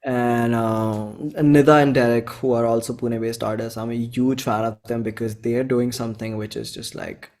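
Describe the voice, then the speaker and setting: Casting: Indian man, 20s, indie musician being interviewed; effusive, slangy, informal, mid-pitched voice. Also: flat delivery, normal speed, hardly any pauses, darkish tone. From Bhopal, India. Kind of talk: casual chat.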